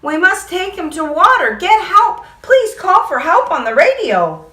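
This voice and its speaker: A woman putting on a little boy's voice for a storybook, way up high and bright, words leaping around with worry, pleading and breathless. the sound comes from across an echoey room. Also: big pitch swings, a little slow, full character voice. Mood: anxious. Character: excited young boy, fish owner. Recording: echoey room, distant mic.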